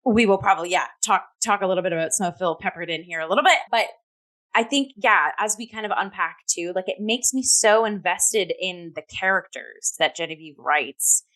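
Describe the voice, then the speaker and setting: A woman co-hosting a theatre talk, speaking casually and informally in a halting, loosely built conversational flow. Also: lowish voice, fairly bright tone. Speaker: talk show co-host and theatre reviewer.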